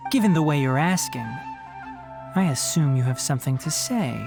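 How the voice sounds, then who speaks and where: An actor playing a young, earnest and principled scholar in a video game, speaking in a measured, formal, guarded way. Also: male voice, fairly high pitch, expressive, vocal fry, music in the background.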